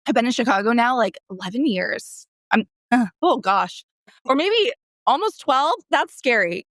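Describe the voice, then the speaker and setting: A woman's voice: fairly high pitch, animated, moderate pace, a bit bright, no echo. A woman in her early thirties with an American accent, talking casually as a therapist guest in a rambling, self-correcting way with emphatic repetition. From San Diego, California, United States. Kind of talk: casual conversational interview.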